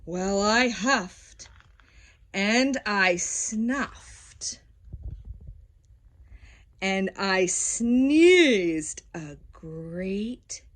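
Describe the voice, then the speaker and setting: Woman voicing a slow, animated storybook wolf. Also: mid-range voice. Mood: conspiratorial. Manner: dramatic, drawn-out storytelling with sudden swoops and hushes. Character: self-justifying male wolf telling his side, folksy and aggrieved. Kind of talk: children's storybook read-aloud.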